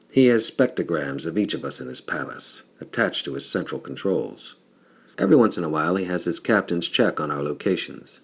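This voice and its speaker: Hooded scientist, disdainful and uneasy, deep rough male voice read by a man narrator, audiobook science fiction. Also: exaggerated voice. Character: cowled male scientist, disdainful, nervous resistance insider.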